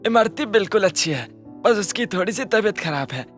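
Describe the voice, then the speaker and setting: A mild, patient older village man, played by a woman in a cartoon story. The voice is middling in pitch and homely, with faint music underneath. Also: expressive, brisk, lightly acted. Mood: friendly, concerned. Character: gentle ageing village husband and father-in-law. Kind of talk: cartoon dialogue.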